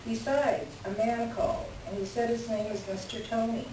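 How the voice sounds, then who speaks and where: A woman's voice: a little high, little pitch movement, moderate pace, lightly acted. A woman playing a blunt, fed-up secretary in a staged play reading, curt and matter-of-fact. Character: blunt, fed-up female secretary, unimpressed by her boss. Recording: very echoey room, distant mic, faint noise.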